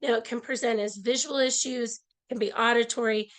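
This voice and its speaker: A woman presenting an online workshop over a video call, slightly hoarse from a cold, explaining earnestly with frequent restarts. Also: a little high, steady tone, fairly quick. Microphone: earbud mic on a video call.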